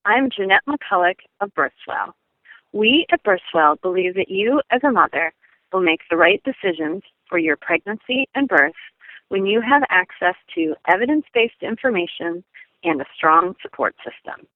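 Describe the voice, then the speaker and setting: A woman's voice: on the low side, animated, moderate pace, dry recording. A woman giving a scripted, informational segment as a show contributor, over a thin telephone-like line. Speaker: segment contributor on pregnancy resources. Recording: thin telephone-like band-limited sound.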